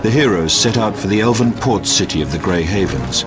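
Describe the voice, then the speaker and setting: Guy with a low voice doing serious epic fantasy narration, loud music behind him. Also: expressive, brisk. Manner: grave, solemn storytelling. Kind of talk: scripted dramatic fantasy narration. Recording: background music under studio narration.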